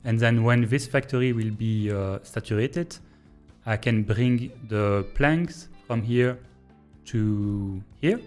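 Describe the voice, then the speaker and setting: A man with a French accent, a native French speaker, thinking aloud in a hesitant, pause-filled way as he streams and comments on a train-building game. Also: fairly low pitch, a little mellow, soft background music. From Belgium. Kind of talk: casual live-stream gameplay commentary.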